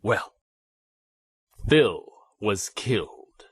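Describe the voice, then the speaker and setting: An actor playing a scheming, pompous teacher who summons demons in a comedic radio play. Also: male voice, lowish voice, expressive, extremely slow, breathy edge, dry recording, studio quality, full character voice.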